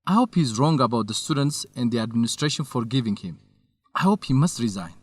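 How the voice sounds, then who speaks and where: A man's voice: mid-range voice, lively intonation, a little fast, slightly rough, dry recording, studio quality. An actor playing an earnest, loyal and shy young male researcher, a little hesitant, in an educational radio drama. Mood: indignant. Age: young adult.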